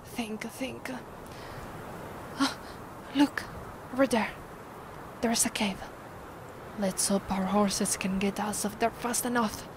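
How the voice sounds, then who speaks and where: A woman with an Italian accent playing a commanding, vengeful vampire in an ASMR roleplay, her voice soft and breathy, often sinking to a whisper. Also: medium pitch, fairly even intonation, background noise, close to an everyday voice. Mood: alarmed, urgent. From Italy. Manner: slow, deliberate, imperious. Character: commanding, vengeful female vampire, secretly affectionate. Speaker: ASMR voice actress.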